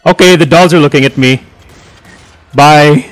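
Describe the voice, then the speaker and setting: A man's voice: on the high side, measured pace, soft background music. A man joking wryly and swearing freely while playing a co-op game with friends. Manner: wry, jokey, swears freely. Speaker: channel host. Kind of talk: casual co-op gaming chat.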